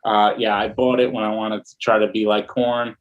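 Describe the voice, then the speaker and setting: A male musician guest in a casual interview, talking in a low-key, matter-of-fact way. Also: flat delivery, moderate pace, highs cut off.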